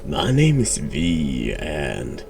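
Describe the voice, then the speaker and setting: A man with a head cold narrates a horror game very slowly and haltingly. His hushed ASMR delivery swings widely in pitch and sometimes sinks to a whisper. There is some faint noise behind him. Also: huge pitch swings, vocal fry. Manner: slow, halting, drops into whispers. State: congested with a cold. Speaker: streamer and host of a horror game playthrough. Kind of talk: hushed ASMR-style game commentary and read-aloud narration.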